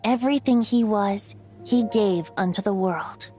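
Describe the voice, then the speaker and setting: A woman's voice: medium pitch, a little slow, warm tone, close to an everyday voice. A woman voicing a playful, poetic young male bard in a video game, with lilting, theatrical storytelling. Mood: gentle, solemn. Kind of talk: dramatic video game narration. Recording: music under the voice.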